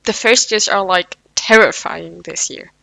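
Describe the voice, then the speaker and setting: A woman's voice: medium pitch, unhurried, creaky, bright, forceful tone, no room sound, poor audio. A woman voicing a jumpy, easily frightened male college volleyball player, insistent and flustered. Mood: wary.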